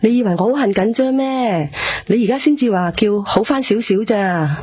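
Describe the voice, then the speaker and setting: A woman's voice: medium pitch, lively intonation, moderate pace, dark tone, breathy edge. An actress playing an anxious middle-aged mother who nags and scolds her daughter in Cantonese in a radio drama. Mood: anxious scolding. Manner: worried, scolding, nagging.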